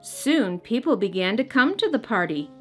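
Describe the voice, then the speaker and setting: A woman's voice: a little high, big pitch swings, moderate pace. A woman hosting and narrating a children's read-aloud, speaking expressively and directly to young listeners. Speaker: host and storybook reader. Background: music.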